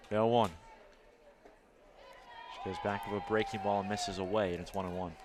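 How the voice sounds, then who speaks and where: A man with an American accent doing live play-by-play commentary of baseball and softball as an announcer. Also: lowish voice, flat delivery, normal speed.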